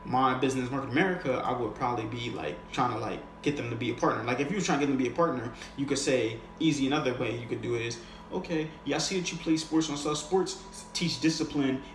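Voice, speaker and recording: A man with a mid-pitched voice talks like a sales coach in an unscripted lesson. He is conversational and slangy, often checking that the listener follows. The room is clearly echoey, with faint noise behind him. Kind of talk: casual, unscripted instructional talk.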